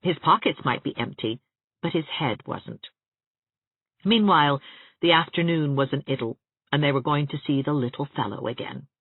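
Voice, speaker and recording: A woman reading literary fiction aloud as an audiobook narrator, with an expressive storytelling delivery. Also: low-pitched, normal speed, husky, dry recording, narrow, tinny audio.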